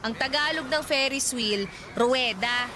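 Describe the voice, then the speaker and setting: A sensible, slightly shy young woman in a radio drama. Her voice is fairly high, gently scolding and evasive, with clear noisy ambience behind it. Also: unhurried. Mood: exasperated. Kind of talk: Tagalog radio drama acting.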